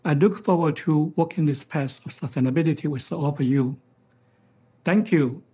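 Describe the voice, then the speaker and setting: Husky man with a Taiwanese accent, formal speech. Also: a little high, moderate pace, mellow. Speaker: financial executive giving a keynote speech. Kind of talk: prepared formal conference speech.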